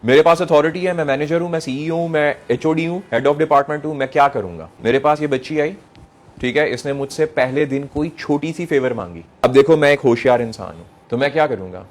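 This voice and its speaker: A man's voice: a little high, fairly quick. Emphatic Pakistani man giving a motivational lecture. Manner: emphatic, repeats phrases, rhetorical questions; mixes English phrases into speech. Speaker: motivational speaker addressing young people.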